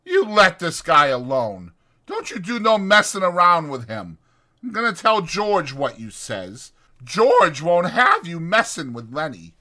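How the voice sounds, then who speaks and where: A man's voice: a little high, wildly swooping pitch, unhurried, pushed and bright, pitched above the performer's own voice, exaggerated voice. A man voicing a cranky, defiant old ranch hand with a blustering, folksy rural American delivery in an audiobook. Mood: protective.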